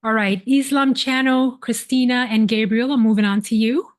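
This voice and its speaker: A woman's voice: on the high side, fairly even intonation, normal speed. A woman hosting a live online panel, introducing each guest and praising them effusively. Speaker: host.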